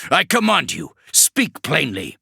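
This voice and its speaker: A man's voice: a little high, very animated, a little slow, creaky, pushed and bright, dry recording, studio quality, voice pushed up, heavily acted. A man voicing a harsh, commanding male warlord who snaps out curt orders in an audiobook reading. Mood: commanding angry.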